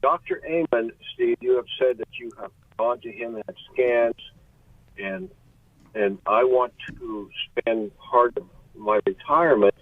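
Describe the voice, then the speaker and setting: A man's voice: mid-range voice, a little slow, no room sound. Sixty-something man on a tinny phone line. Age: 60s. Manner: rambling, earnest, candid. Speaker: caller. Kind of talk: call-in radio chat. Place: in a car pulled over.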